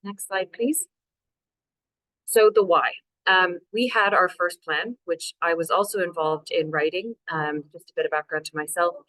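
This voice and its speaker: A Canadian woman in her thirties presents over a video call, her voice sitting low for a woman. She talks loosely and chattily, dropping fillers and poking fun at herself. The sound is dry and close. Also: measured pace, a bit bright, no echo, rough recording. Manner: chatty, self-deprecating, many fillers. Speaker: local authority climate officer. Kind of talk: webinar presentation.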